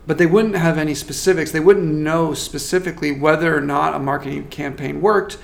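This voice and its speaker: Man, consultant, thoughtful interview answer, measured pace of ideas, gravelly tone. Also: a little high, steady tone. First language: not French. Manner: measured, thoughtful, frequent restarts. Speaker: marketing consultant.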